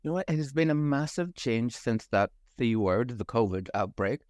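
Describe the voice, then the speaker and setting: A middle-aged man from Northern Ireland with a softened Belfast accent, speaking as a guest in a podcast interview, enthusiastic and fluent with a few fillers. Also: mid-range voice, animated, normal speed, no room sound, studio quality. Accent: Northern Irish, softened by years abroad. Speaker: travel company managing director, guest.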